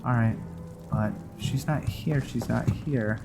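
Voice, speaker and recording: A man hosting a roleplay game playthrough, giving casual, low-key commentary as he thinks aloud. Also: slow pace, vocal fry. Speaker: gaming video host. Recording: loud game music behind the voice. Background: video game music.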